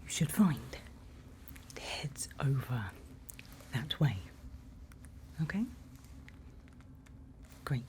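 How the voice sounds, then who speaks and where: A woman playing a calm forest night-watch guide in an ASMR roleplay, whispering soothing, unhurried instructions close to the microphone. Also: very deep voice, slight background noise, exaggerated voice. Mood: calm, hushed.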